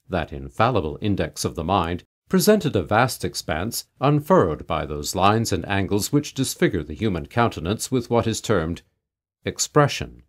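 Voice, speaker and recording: Man, lowish voice, lively intonation, audiobook narrator, measured mock-solemn delivery, literary storytelling. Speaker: audiobook reader. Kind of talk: literary narration of a humorous old tale.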